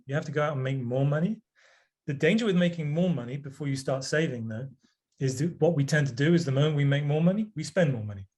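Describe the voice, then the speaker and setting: A man answering interview questions as a guest author, measured and plain-spoken with some hesitant restarts. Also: on the high side, a little mellow.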